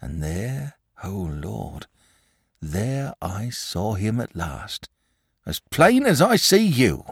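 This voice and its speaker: A man's voice: on the low side, huge pitch swings, takes it slowly, vocal fry, slightly rough, no room sound, studio quality, exaggerated voice. A man voicing a nervous, superstitious country shepherd and father from a storybook, rambling on emphatically in rural dialect. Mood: awed, nervous. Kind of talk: storybook character voice.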